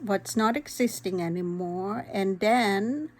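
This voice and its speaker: A woman, talking candidly and earnestly to her vlog audience, pausing often with "um". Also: medium pitch, darkish tone. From Vojvodina, former Yugoslavia; raised in Germany. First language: Hungarian. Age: 50s. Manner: earnest, candid, frequent "um" pauses. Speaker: vlogger. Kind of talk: personal vlog talk.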